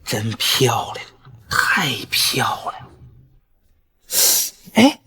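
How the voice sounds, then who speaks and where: An actor playing a lecherous, gloating man who wheedles and mutters excitedly to himself in a screen drama. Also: high voice, husky, exaggerated voice. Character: lecherous, gloating, wheedling male would-be attacker.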